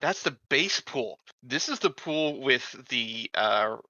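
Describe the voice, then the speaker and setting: Very bright, slow-talking man. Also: medium pitch, expressive, no room sound, lo-fi sound. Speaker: player in a tabletop roleplaying game. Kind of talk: casual chat.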